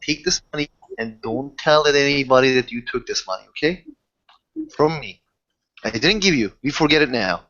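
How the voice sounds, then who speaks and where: A protective high-ranking werewolf played by a man at a gaming table, speaking at a middle pitch. He is earnest and insistent, circling back over his words haltingly, on a muffled lo-fi recording. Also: lively intonation, sharp tone. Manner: halting, repetitive, earnest pleading. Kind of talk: improvised tabletop roleplay dialogue.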